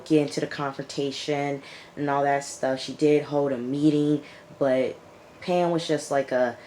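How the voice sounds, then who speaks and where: A woman with a raspy, hoarse voice from recent illness, reviewing a TV episode in a casual vlog and repeating her points for emphasis. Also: rumbling low, slight background noise. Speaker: YouTube TV reviewer.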